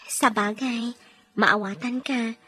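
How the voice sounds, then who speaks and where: Radio drama friend: troubled, acquiescent woman, high-pitched voice, soft music bed. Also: steady tone, takes it slowly, breathy edge, full character voice. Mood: agreeable. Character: agreeable, troubled woman confiding in her friend.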